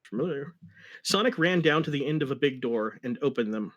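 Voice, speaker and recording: A man's voice: mid-range voice, expressive, a little fast, a bit bright, muffled recording. A man giving a comic dramatic reading of a fan fiction story, with wry asides.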